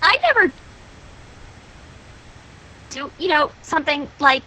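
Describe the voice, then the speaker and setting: A woman voicing a nervous, bookish young unicorn mare in a fan fiction reading, halting and evasive with a show of innocence. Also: high voice, very animated, pushed and bright, exaggerated voice, rough recording. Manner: flustered, halting, over-innocent.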